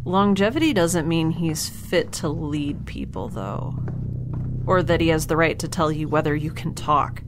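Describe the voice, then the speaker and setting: A woman's voice: deep voice, lively intonation, measured pace, background noise. A woman playing a dry, sarcastic young scientist. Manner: dry, sarcastic, quietly blunt. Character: sardonic, blunt young woman scientist held captive.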